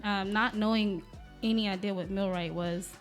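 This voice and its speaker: A woman's voice: medium pitch, steady tone, moderate pace, faint music behind. A native Vietnamese-speaking woman from Alabama with a Southern US accent, chatting informally as a podcast guest. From Alabama, USA. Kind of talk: casual interview chat.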